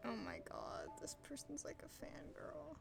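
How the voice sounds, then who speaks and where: High-pitched, animated young woman gamer. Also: measured pace, creaky. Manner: informal, slangy, skeptical asides. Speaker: video game streamer. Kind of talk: casual gameplay reaction commentary. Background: game music.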